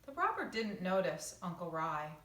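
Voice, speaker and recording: A woman teacher reading a picture book aloud to children, with casual asides and expressive delivery. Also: lowish voice, normal speed. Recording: roomy echo, distant microphone.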